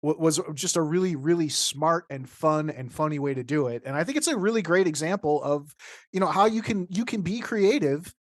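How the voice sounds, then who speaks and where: A woman's voice: low voice, animated, a little fast, no echo, clean studio sound. A woman hosting a podcast, commenting casually and informally, often breaking off and restarting her thoughts. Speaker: podcast host.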